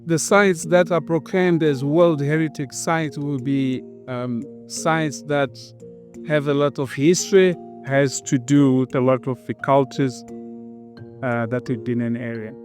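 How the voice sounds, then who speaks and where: A man working as a tour guide, explaining sites slowly with many fillers. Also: medium pitch, fairly even intonation, darkish tone, soft background music.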